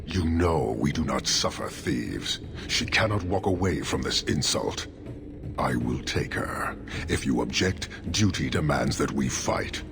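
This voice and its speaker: A man's voice: extremely low pitch, animated, a little slow, full character voice. A man playing a towering, stern horned warrior commander in a video game, speaking in solemn, formal declarations. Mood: grave challenge. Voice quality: gravelly. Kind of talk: video game cutscene acting. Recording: loud game music behind.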